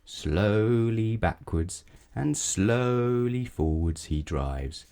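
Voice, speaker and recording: Low, mellow, animated male storybook reader. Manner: expressive, measured storytelling with rhetorical asides. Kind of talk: children's read-aloud narration.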